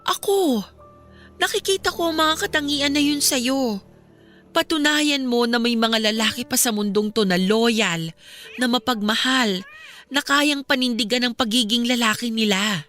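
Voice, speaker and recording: A woman's voice: a little high, a little slow, a bit bright, faint music behind. An actress playing a caring, steadfast young Filipino woman who consoles a friend in a radio drama, earnest and reassuring. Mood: earnest encouragement.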